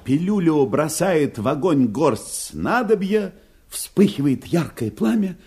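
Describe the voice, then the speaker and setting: A man narrating a fairy tale from a script in a husky voice, with an unhurried, expressive storyteller's delivery. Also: fairly high pitch, a little hiss or hum.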